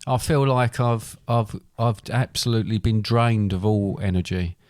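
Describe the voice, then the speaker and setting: A man's voice: on the low side, steady tone, normal speed, a little mellow, dry recording, clean studio sound. A middle-aged man, co-hosting a podcast in casual chat. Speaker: podcast co-host.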